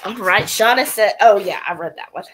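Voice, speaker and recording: A woman's voice: mid-range voice, fairly quick, a bit bright, a little hiss or hum. A woman in her thirties chatting casually during a home cooking livestream, reading out viewer comments. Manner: reads viewer comments aloud. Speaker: livestream co-host. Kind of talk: casual live cooking chat. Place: home kitchen. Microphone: fixed camera mic at the table.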